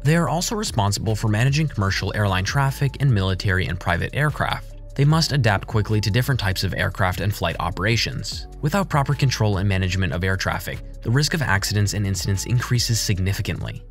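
A man reading a scripted explainer as a documentary narrator, with a measured, formal delivery. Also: fairly low pitch, animated. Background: background music.

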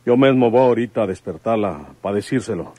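An actor playing a devoted, plain-spoken country detective in a radio drama. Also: male voice, fairly low pitch, brisk, warm tone, husky, dry recording. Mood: firm, dutiful.

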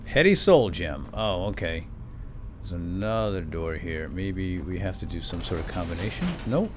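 Low, animated man playing a game. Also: normal speed, noisy background. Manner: playful, talks to game companion. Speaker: let's play host. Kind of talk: lightly role-played gaming commentary.

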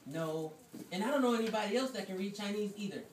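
Woman as a lively folksy puppet farmer, echoey. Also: medium pitch, fast, natural voice. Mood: matter-of-fact, wistful. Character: plain-spoken busy Korean farmer, folksy, good-natured. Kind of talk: amateur puppet-show acting. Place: classroom, performers behind a draped table. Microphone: distant camera. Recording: noisy, echoey classroom from far off.

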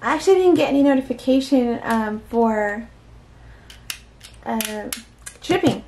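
High-pitched, giggly woman vlogger. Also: lively intonation, measured pace, a little mellow, slight background noise. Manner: giggly, exclaims often, talks to herself. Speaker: unboxing vlogger. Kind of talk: casual unboxing chat. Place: indoors at a tabletop.

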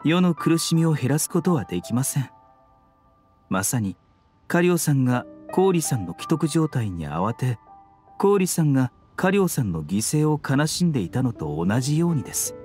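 Man acting a composed, lively-toned, idealistic young physician. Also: mid-range voice, soft background music, natural voice. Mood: composed, determined. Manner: polite, measured, philosophical speech. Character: serene, idealistic young male physician, quietly resolute. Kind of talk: scripted game dialogue.

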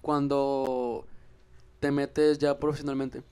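Smooth-voiced young guest. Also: male voice, medium pitch, little pitch movement, takes it slowly, a little mellow. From Mexico. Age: young adult. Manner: easygoing, hesitant fillers. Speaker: podcast guest, musician. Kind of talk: casual interview chat. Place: small room, seated on a couch. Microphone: handheld microphone.

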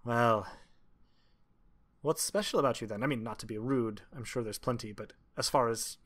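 A man's voice: mid-range voice, normal speed, a bit bright, natural voice. A man voicing a cheerful, gracious female tavern singer with a breezy, folksy manner in a tabletop game. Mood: curious.

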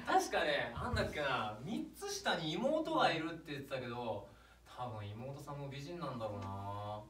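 Man playing a bright, carefree, silly hiker, echoey. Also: mid-range voice, big pitch swings, normal speed. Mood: reminiscing. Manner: chatty, repeats words, clownish. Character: carefree, silly male hiker, unbothered by being stranded. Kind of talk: stage sketch comedy. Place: on a small indoor theatre stage. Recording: echoey theatre, distant camera, faint noise.

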